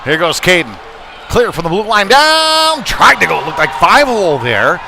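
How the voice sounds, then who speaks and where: A man doing live play-by-play commentary of an ice hockey game, often pausing mid-phrase. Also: sits high, huge pitch swings. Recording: clearly noisy sports venue background.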